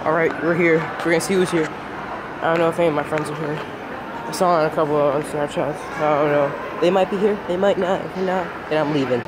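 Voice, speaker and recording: A man's voice: a little high, steady tone, a little fast. A young man chatting casually and in boyish slang for a vlog, filming himself on a handheld phone in busy public places. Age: young adult. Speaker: vlogger. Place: busy public places, bowling alley and mall. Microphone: handheld phone at arm's length. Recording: loud music and crowd noise.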